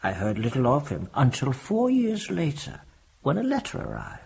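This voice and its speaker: A dull recording of a period radio play. a devout, courteous clergyman recalling the past in a very raspy, creaking voice. His phrasing is formal and measured, with lively rises and falls. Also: mid-range voice, heavily acted. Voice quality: husky, gravelly. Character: earnest Victorian clergyman recounting his memories, devout and courteous. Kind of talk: period radio drama acting. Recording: dull lo-fi audio.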